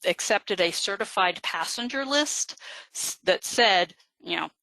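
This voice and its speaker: A woman's voice: mid-range voice, lively intonation, measured pace, gravelly, bright, forceful tone, no room sound, poor audio. A woman genealogist giving a live webinar lecture, explaining step by step with frequent fillers. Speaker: genealogist presenter.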